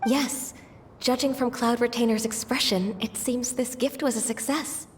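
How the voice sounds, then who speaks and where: An actress playing a gentle, earnest, polite young secretary in a game. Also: female voice, a little high, fairly even intonation, moderate pace, slight background noise, close to an everyday voice. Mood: mild, pleased. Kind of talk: scripted game dialogue. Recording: music behind.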